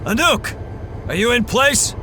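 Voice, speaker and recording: An actor playing a bold, steady wasteland monster hunter in an audio drama, with a dry, confident, commanding manner. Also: male voice, very high-pitched, a little slow, background noise.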